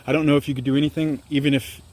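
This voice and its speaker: A man's voice: a little high, level tone, rapid-fire, darkish tone, poor audio. A man in his thirties, an organic farmer, chatting candidly in a rambling, self-deprecating way while filming himself outdoors on his farm. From Louisiana, US. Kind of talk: casual farm vlog. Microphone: handheld camera at arm's length.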